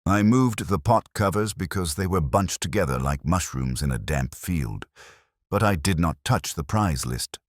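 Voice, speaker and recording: A man's voice: sits low, animated, a little slow, no echo, clean studio sound. A man voicing a confident, offended, nosy village woman in a storybook mystery, tart and self-assured. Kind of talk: storybook character dialogue.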